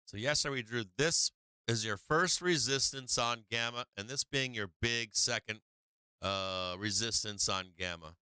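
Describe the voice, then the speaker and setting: A man hosting a live stock market stream, talking viewers through charts in a rambling, casual way, his voice going a little hoarse. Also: mid-range voice, pushed and bright, no room sound. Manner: rambling, talks to viewers while walking through charts, many fillers. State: losing his voice.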